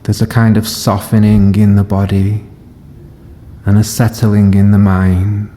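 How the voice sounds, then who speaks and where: A man leading a guided body scan meditation as a teacher, speaking gently and unhurriedly in short phrases with long pauses. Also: lowish voice, level tone. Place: live session in a reverberant room. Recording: long reverb of a hall.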